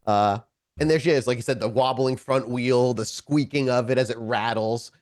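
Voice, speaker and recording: A man acting as game master, narrating an improvised tabletop story in a casual, descriptive way with animated asides. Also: mid-range voice, normal speed, no echo, studio quality.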